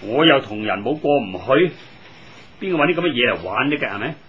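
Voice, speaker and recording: A man's voice: mid-range voice, brisk, dull audio, over a noisy crowd, close to an everyday voice. An actor playing a weary, grumbling, exasperated working man in a 1980s Hong Kong Cantonese radio drama. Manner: grumbling, sighing, excitable.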